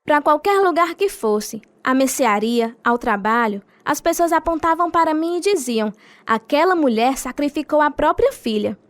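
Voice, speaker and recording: A woman's voice: high-pitched, animated, normal speed, no echo. An actress playing a devout, grieving mother and steadfast wife in a radio drama, earnest and sorrowful. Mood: sad, devoted. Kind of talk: radio drama acting and first-person narration.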